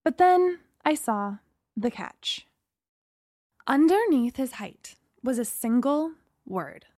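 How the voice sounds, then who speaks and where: A woman's voice: sits high, expressive, slow pace, mellow, no echo, clean studio sound. A young woman from Singapore narrating her own story in a wry, candid, first-person storytelling way.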